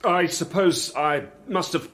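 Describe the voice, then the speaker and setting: An actor playing a nervous, evasive English gentleman witness in a radio drama, hesitant and stiffly formal. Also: fairly high pitch, takes it slowly. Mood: flustered.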